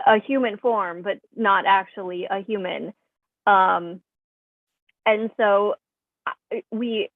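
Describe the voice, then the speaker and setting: A woman's voice: medium pitch, no echo. Woman on a thin, phone-like call. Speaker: actor in a staged reading. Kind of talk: casual post-show Q&A. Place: at home on a video call. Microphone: video call.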